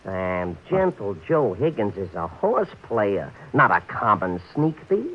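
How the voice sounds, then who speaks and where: An actor playing a small-time racetrack bookie who talks in florid, overly formal phrases mixed with track slang, in a 1940s radio play. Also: male voice, lowish voice, big pitch swings, slow, full character voice. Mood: pompous. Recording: muffled vintage radio broadcast.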